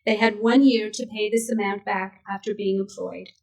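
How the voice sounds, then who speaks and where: A woman in her sixties from Canada, whose first language was Yiddish, reading a prepared speech at a memorial event in a measured, steady way. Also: mid-range voice, gravelly. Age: 60s. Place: synagogue event hall. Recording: voice picked up from a distance.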